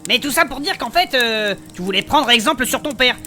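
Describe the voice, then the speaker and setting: A woman playing a cheeky, excitable member of an adventuring band in a fantasy audio drama, colloquial and exclamatory. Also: fairly high pitch, fairly quick, faint music behind. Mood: curious, friendly. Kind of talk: French fantasy audio fiction acting.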